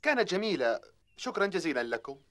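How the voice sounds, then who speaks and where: A woman voicing a gentle, sad male cartoon friend who sighs and mourns a lost treasure. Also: lowish voice, sing-song. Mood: wistful. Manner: mournful, sighing, quick.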